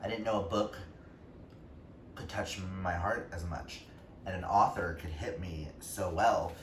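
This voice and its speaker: Guy doing a casual book vlog, lowish voice, super emphatic, dramatic pauses, bit of background noise. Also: fairly bright tone. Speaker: book reviewer vlogger, reading challenge co-host. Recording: roomy, distant mic, faint noise.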